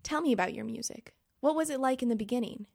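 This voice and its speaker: A woman interviewer asking polite, clear questions in a radio interview. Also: fairly high pitch, quick pace, darkish tone.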